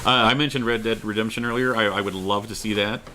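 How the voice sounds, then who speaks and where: A man co-hosting an online show, chatting casually and off the cuff in a jokey, animated way. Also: on the low side, quick pace, slight background noise. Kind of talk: casual unscripted discussion.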